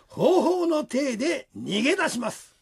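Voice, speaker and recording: A woman's voice: high-pitched, sing-song, unhurried, gravelly. A woman narrating a radio drama in a dramatic, scripted storytelling style.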